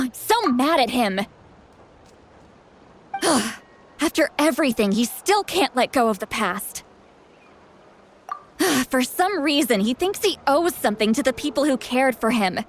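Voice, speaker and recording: A woman's voice: sits high, a little hiss or hum. A woman voicing a feisty, proud, youthful-sounding grandmother shaman in a video game, with a huffy, dramatic manner.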